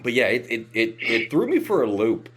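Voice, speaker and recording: A man's voice: mid-range voice, very animated, moderate pace, slightly rough. An American man from West Virginia hosting a podcast, casually telling anecdotes and acting out the dialogue as he goes. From West Virginia, United States. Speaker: podcast host.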